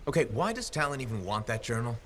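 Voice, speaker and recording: An actor playing a confident, wisecracking freelancer hero in a video game, wry and assured. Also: male voice, mid-range voice, big pitch swings, fast talker, a little hiss or hum, close to an everyday voice. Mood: challenging. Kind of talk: video game protagonist dialogue.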